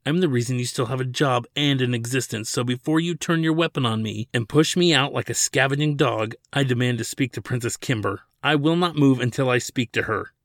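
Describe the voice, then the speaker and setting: A man's voice: medium pitch, a little fast, few pauses, a bit bright, no echo, lightly acted. Blustering pushy schemer, voiced by a man, raised insistent voice, dry close sound. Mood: demanding. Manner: pushy, demanding. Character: blustering male con man faking alien accent.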